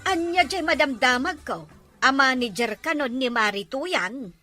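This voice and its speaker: A woman's voice: high voice, expressive, unhurried, music in the background. A woman with an Ilocano accent playing a curious, tut-tutting neighbor in a comic drama. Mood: gossipy.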